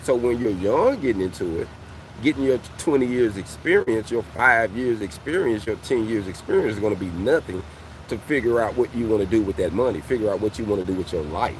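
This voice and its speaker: A man's voice: on the low side, expressive, fairly quick, slight background noise, low-quality recording. Man in his forties, casual coaching talk. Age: 40s. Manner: conversational, repeats "fam" and "you know what I mean". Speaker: welding coach and channel host. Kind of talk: casual motivational talk to viewers.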